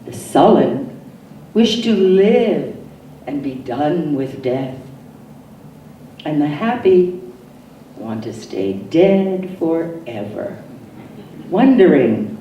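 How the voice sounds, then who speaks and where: A woman poet giving an expressive poetry reading, with deliberate, dramatic phrasing and pauses. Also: on the low side, lo-fi sound. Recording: roomy echo, distant mic, faint noise.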